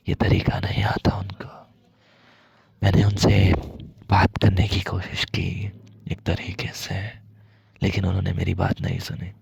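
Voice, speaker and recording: A man acts out a mafia boss's lovesick son in an intimate ASMR roleplay. His low voice is heavily creaky and husky, sometimes slipping toward a whisper. He confides haltingly and apologetically, and the audio is slightly dull. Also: darkish tone, no room sound, heavily acted. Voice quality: gravelly, husky, breathy.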